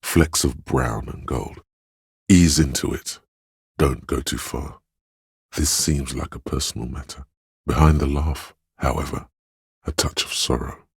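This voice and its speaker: A man voicing a grave, compassionate inner voice in a video game, narrating in a measured, solemn, confiding way with a gravelly, husky tone. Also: very deep voice, no echo, studio quality, full character voice. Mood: gentle, sorrowful. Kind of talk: scripted game narration, literary and brooding.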